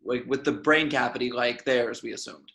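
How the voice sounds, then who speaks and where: A man's voice: medium pitch, normal speed, a bit bright, natural voice. A man playing a curious, intense scientist, eager and probing, in a table read of a film script.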